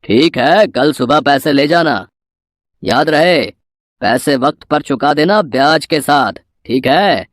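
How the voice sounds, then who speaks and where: Man playing a higher-voiced domineering village moneylender. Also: lively intonation, takes it slowly, no echo, clean studio sound. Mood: condescending. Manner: imperious, threatening. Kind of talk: animated moral story dialogue.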